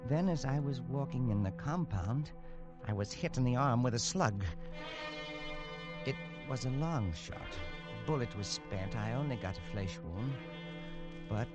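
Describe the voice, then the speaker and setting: A man's voice: fairly low pitch, muffled recording, close to an everyday voice. An actor playing a frightened male explorer in an old radio drama, narrating in a tense, stammering way. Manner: tense, stammering, jumpy delivery. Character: frightened adult male explorer recounting being hunted. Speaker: narrator and lead character. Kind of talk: 1940s radio drama acting. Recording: vintage radio broadcast with music bed.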